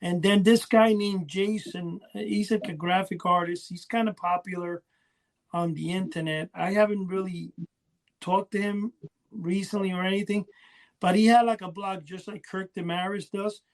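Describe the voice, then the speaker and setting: A man's voice: high voice, fairly even intonation, moderate pace, low-quality recording. A man chatting casually as a podcast co-host, rambling and often losing his thread. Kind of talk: casual chat about collecting.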